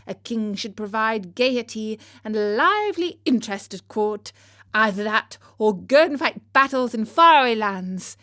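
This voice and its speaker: A woman's voice: fairly high pitch, fairly bright tone, no room sound, clean studio sound. A woman voicing an aged, forthright duchess from a storybook, haughty and scandalised as she pronounces her opinions. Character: aged duchess, forthright, indignant high-society old woman. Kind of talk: storybook character voice.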